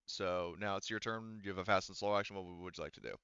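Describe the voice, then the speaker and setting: A man with a low, smooth voice works as game master, talking players through rules and scenes in a casual, halting way. The audio is dry and a little muffled. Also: steady tone, bright, forceful tone, no echo. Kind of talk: casual tabletop game narration.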